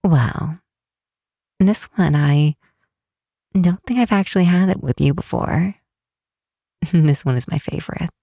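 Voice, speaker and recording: A woman acts out a caring best friend in an intimate ASMR roleplay. She talks very slowly and gently, pausing often, with a teasing fondness. The sound is close and dry. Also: low-pitched, gravelly, no room sound, exaggerated voice. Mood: playful, fond. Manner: hesitant, gentle, unhurried with pauses.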